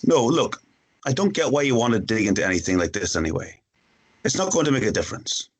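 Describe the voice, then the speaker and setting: A man's voice: mid-range voice, big pitch swings, fast talker, slightly rough. A man acting a casual, teasing, frank boyfriend in a screenplay table read, speaking bluntly and familiarly. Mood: reasoning.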